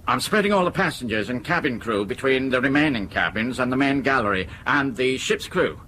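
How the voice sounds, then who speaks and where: An actor in a radio drama, playing a respectful, practical airline crewman with a brisk, eager manner. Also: mid-range voice, animated, pushed and bright, a little hiss or hum. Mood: brisk, helpful.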